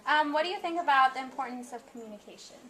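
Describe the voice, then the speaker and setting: A woman's voice: high-pitched, racing, pushed and bright. A teenage girl interviewing classmates for a class project, hesitant with ums. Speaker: student interviewer.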